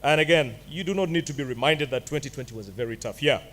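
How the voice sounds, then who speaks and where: A man's voice: medium pitch, fast, a bit bright. Jovial man giving a commencement speech. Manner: jovial, playful asides to the audience. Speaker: commencement speaker. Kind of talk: graduation address. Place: ceremony hall.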